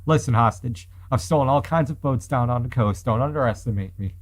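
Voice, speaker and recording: A man's voice: mid-range voice, brisk, natural voice. A man reading the part of a brash, threatening young female boat thief in a visual novel. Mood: cocky, stern. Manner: cocky, menacing.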